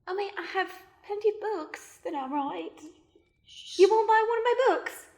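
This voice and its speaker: A needy, defensive eccentric woman, acted out by a performer speaks in an extremely high voice. Her delivery is dramatic, suited to an improvised comedy radio play. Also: lively intonation, normal speed, darkish tone. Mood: confessing.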